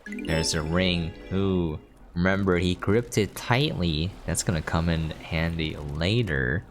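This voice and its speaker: A man's voice: deep voice, slow pace, soft background music. A man giving casual gameplay commentary as a streamer, explaining things as he plays. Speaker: video game streamer.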